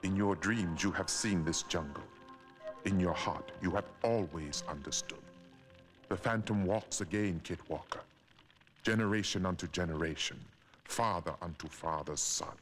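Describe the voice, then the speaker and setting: An actor playing a wise older mystical mentor in a cartoon, grave and measured. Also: male voice, low-pitched, lightly acted. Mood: solemn, prophetic. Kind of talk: animated series voice acting. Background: music.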